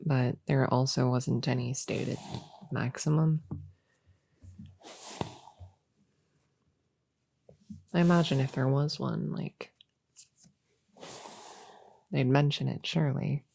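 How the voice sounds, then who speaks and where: A woman playing an eager, slightly grumpy young novice witch in a soft-spoken ASMR roleplay, chatting casually and hesitantly to a friend in a breathy voice that often drops to a whisper. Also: very deep voice, steady tone, measured pace, pauses often, slight background noise, heavily acted. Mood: musing, casual. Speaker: audio roleplay performer. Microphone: close microphone in a dry space.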